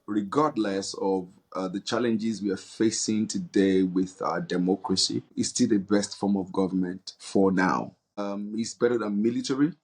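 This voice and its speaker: A man's voice: fairly low pitch, steady tone, moderate pace, hardly any pauses, darkish tone, slightly rough. A man with a Nigerian accent answering interview questions in an earnest, hesitant way, searching for words. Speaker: interview guest, non-profit leader.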